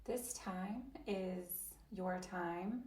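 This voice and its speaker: Gentle, slow-spoken woman in an echoey room. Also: lowish voice. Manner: slow, gentle, invitational, with long pauses. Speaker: voice interpreter for a yoga teacher. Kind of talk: guided trauma-sensitive chair yoga.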